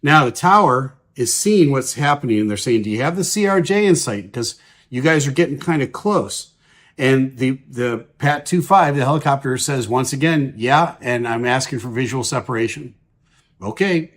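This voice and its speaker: A male aviation commentator talks through air traffic audio step by step. His voice sits at a medium pitch. He explains things plainly, in everyday terms. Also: animated, moderate pace.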